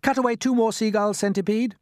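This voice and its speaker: A man voicing a brave, earnest young boy in a storybook, urgent and excitable. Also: high-pitched, a little fast, dry recording, clean studio sound, voice pushed up, close to an everyday voice. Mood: commanding, eager.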